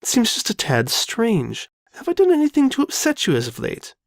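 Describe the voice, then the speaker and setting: A man's voice: very high voice, wildly swooping pitch, normal speed, gravelly, no room sound, clean studio sound, pitched above the performer's own voice, full character voice. A man voicing an elegant, genteel female pony with refined, theatrical and formal diction in a dramatic fan fiction reading. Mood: composed, concerned.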